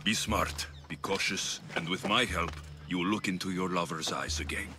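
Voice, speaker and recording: A man playing a steady, earnest and protective mercenary hero in a video game cutscene. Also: sits low, normal speed, close to an everyday voice. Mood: reassuring.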